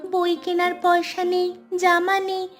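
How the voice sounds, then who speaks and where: A woman's voice: extremely high pitch, completely flat delivery, a little slow, soft background music. A woman playing a poor, brave orphaned village girl in a cartoon drama, earnest and polite. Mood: sad, plain. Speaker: cartoon character voice.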